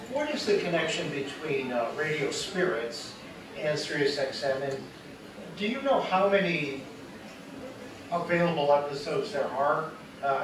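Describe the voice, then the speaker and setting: Male questioner, slightly high voice, conversational, in a very echoey room with people around. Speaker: audience member asking a question. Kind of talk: casual spoken question. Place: indoor event room, recorded from a distance. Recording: very echoey, distant room with audience.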